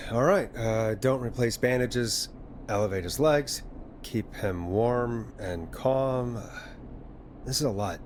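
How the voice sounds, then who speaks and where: An actor playing a composed, caring late-night radio host in a video game, speaking in a steady, conversational way. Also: male voice, mid-range voice, slow, slight background noise, natural voice. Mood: attentive, overwhelmed. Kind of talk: natural video game voice acting.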